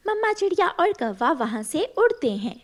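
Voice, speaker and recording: A woman's voice: very high-pitched, big pitch swings, normal speed. A woman reading a scripted children's story as narrator, with faint noise behind her.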